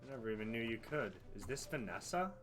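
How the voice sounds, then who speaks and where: A woman's voice: a bass voice, expressive, fast talker, over music. A woman game streamer giving casual live reactions as she plays.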